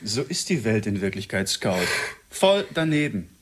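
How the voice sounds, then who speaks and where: An actor playing a cocky, crude, sweet-talking outlaw killer with a rough voice in a German radio drama. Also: male voice, fairly low pitch, very animated, normal speed. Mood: smug, teasing.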